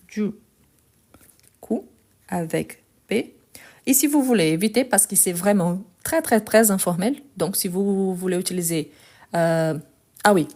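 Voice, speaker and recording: A woman's voice: fairly low pitch, warm tone. A woman teaching French in a live online lesson, correcting a text step by step. She explains patiently, repeats words often and talks into a phone she holds in her hand. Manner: slow, patient explaining with many "donc" and repetitions. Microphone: phone held in hand.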